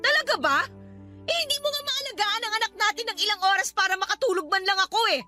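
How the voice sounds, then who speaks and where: An exhausted Filipino young mother in a radio drama. Her voice is extremely high, with a scolding, sarcastic, aggrieved edge. Music plays clearly behind her. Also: fast talker, bright, forceful tone.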